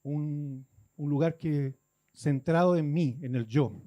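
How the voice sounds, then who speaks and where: A man's voice: on the high side, takes it slowly, mellow. A man from Guatemala, preaching a conversational sermon and acting out churchgoers' complaints.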